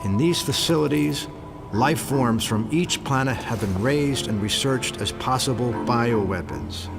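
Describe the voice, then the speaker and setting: An actor playing an earnest military tech specialist in a video game, reporting matter-of-factly. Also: male voice, mid-range voice, unhurried, vocal fry, over music. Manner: matter-of-fact.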